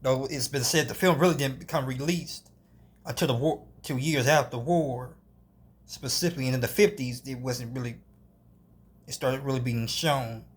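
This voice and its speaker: Halting man reviewing an old film. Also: medium pitch, slightly rough. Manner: halting, with frequent restarts and hedging.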